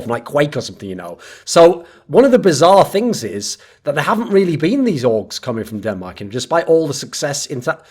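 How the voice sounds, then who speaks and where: A man's voice: a little high, darkish tone, husky. A man hosting an interview, asking long questions that wind on before they land. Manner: long-winded, builds questions at length. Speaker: interviewer, show host.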